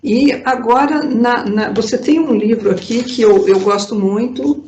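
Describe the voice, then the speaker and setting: A woman's voice: fairly high pitch, measured pace, darkish tone, a little hiss or hum. Brazilian woman hosting in a roomy space. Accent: Brazilian Portuguese. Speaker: astrologer and interview host. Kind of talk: casual interview chat. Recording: roomy echo.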